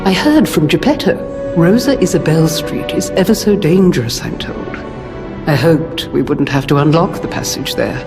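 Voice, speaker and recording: A woman playing a dignified, protective older hotel guardian in a video game, speaking in a measured, solemn, genteel way. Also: sits low, animated. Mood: tender, wistful. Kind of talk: scripted game cutscene dialogue. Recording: long reverb under music.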